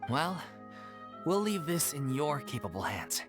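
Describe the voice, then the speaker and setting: An actor voicing a calm male troupe member who reassures the others. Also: fairly high pitch, a little slow. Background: game music.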